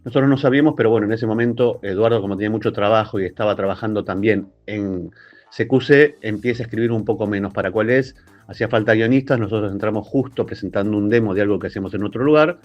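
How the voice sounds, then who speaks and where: A man's voice: fairly low pitch, fast talker, lo-fi sound, faint music behind. A man with an Argentine accent reminiscing casually in an interview about his radio work. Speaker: radio scriptwriter.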